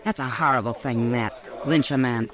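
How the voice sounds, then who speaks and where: A woman's voice: a bass voice, moderate pace. An actress playing a worldly, concerned frontier saloon woman, frank and urgent, in 1950s radio western style. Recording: old radio, busy saloon crowd behind.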